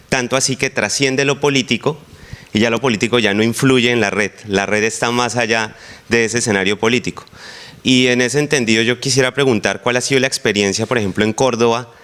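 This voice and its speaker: A man's voice: medium pitch, a bit bright, clean tone. A man from Colombia, asking a polite, long-winded question from the audience. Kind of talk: formal question from the floor.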